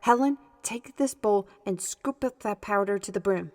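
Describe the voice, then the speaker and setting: A woman's voice: medium pitch, moderate pace, darkish tone, natural voice. A woman playing a bossy, wry adult adventurer who takes charge of youngsters in a fantasy audio drama. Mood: focused, wry.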